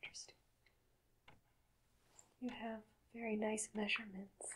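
A woman playing a doctor in an ASMR roleplay, speaking softly and mostly in whispers, with gentle questions and long pauses, close to the microphone. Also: mid-range voice, little pitch movement, noisy background.

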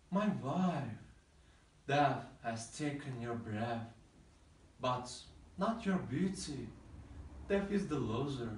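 An actor playing a desperate, grieving young lover, moving between pleading shouts and hushed sorrow, in an echoey room from a distance. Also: male voice, medium pitch, close to an everyday voice. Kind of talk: modern-language play reading.

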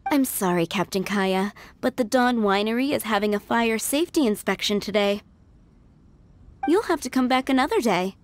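A woman's voice: on the high side, expressive, moderate pace, a little hiss or hum, close to an everyday voice. A woman voicing a poised, courteous head maid in a video game, formal and subtly dry. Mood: politely dismissive. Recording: game music behind.

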